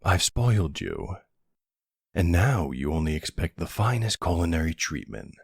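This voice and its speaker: Mafia boss love interest, played by a man for ASMR roleplay: playful swagger, lively intonation, very close dry sound. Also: deep voice, normal speed, no echo, heavily acted. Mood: mock-offended, playful. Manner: playful, teasing, smooth-talking with tough-guy swagger. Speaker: voice actor in an audio roleplay. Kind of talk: intimate ASMR boyfriend roleplay acting.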